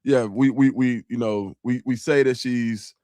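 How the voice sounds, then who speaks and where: Slangy male host reading shout-outs. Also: medium pitch, a little slow, dry recording, studio quality. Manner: relaxed and grateful, slangy, calls people dog and bro. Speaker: show host reading super chats. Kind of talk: casual live-stream chat.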